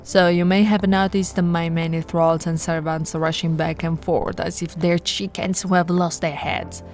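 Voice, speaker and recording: A woman voicing a possessive, seductive, haughty vampire noblewoman in an intimate roleplay, with theatrical drawn-out words and breathy whispers. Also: fairly low pitch, flat delivery, darkish tone, soft background music, lightly acted. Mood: haughty, amused. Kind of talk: intimate ASMR audio roleplay.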